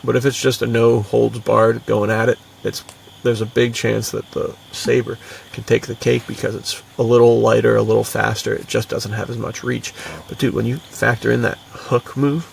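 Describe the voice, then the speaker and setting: A man's voice: medium pitch, little pitch movement, normal speed, creaky, recorded from a distance, a little hiss or hum. A young man co-hosting a podcast in casual, enthusiastic chat, with a slangy, buddy-like manner. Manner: slangy, buddy-like, frequently chuckling. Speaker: podcast co-host.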